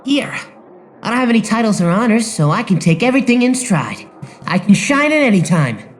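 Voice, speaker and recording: A woman's voice: on the high side, very animated, brisk, slight background noise. A woman voicing a confident, breezy teenage boy idol who is eager to shine, in an anime fan dub. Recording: music under the voice.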